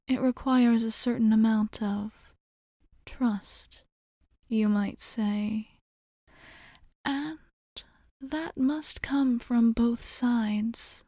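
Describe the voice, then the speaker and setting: A woman playing a courteous, soothing vampire bartender in an ASMR roleplay, speaking softly and formally, often dropping to a whisper. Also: fairly high pitch, no echo, studio quality. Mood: gentle, reassuring. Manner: formal, measured, gently reassuring.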